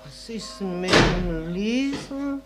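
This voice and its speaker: Eine Schauspielerin spielt im Hörspiel eine müde, gebrechliche alte Mutter mit schlesischem Akzent, die klagend spricht. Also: female voice, medium pitch, expressive, slow, a bit bright, soft background music. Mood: weary, plaintive. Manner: plaintive, weary. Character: weary, frail old mother longing for death. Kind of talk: German radio play acting.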